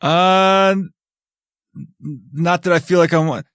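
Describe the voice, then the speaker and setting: A man's voice: sits high, steady tone, slow pace, a bit bright, smooth, dry recording, studio quality. A man in his thirties co-hosting a podcast, telling jokey stories in a laid-back way. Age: 30s. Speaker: podcast co-host. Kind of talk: casual chat.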